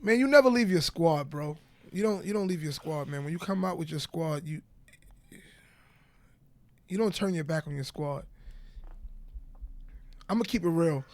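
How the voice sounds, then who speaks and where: Stuttering Southern US man, high voice, dry studio podcast sound. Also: animated, normal speed, pauses often, no echo. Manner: stutters, repeats phrases like "bro" and "real shit". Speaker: guest rapper. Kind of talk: candid interview talk.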